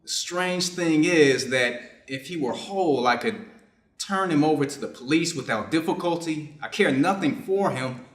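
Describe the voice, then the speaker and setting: A middle-aged Japanese surgeon, read by a man in a staged story. He speaks formally and deliberately, reflective and clinical yet conflicted. The room adds a clear echo around the voice. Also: fairly high pitch, animated, natural voice. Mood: torn, cold. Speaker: reader's theater performer. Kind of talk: staged reading of a short story, measured delivery. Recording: echoey room.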